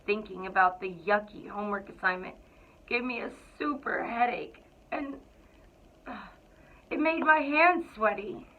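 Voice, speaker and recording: A woman reading a children's picture book aloud to school students in an expressive, deliberate storytelling way. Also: medium pitch. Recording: thin, phone-like narrow-band sound.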